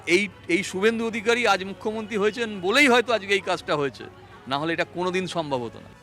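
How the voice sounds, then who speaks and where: A man with a high, bright voice makes a statement to the press. He speaks in short, halting, emphatic bursts, with faint music and city sound behind him. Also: lively intonation.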